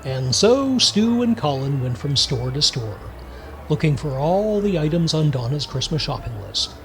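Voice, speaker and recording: Male narrator, acted for a comic holiday radio play, slightly high voice, scripted storytelling, faint noise behind. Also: sing-song, unhurried, rough recording. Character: storybook narrator of a Christmas comedy.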